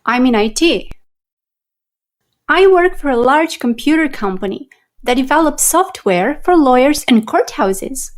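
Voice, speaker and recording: A woman playing a polite new neighbor, high voice. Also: animated, unhurried. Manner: polite, friendly. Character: polite woman newly moved in, works in IT. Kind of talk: scripted conversation for listening practice.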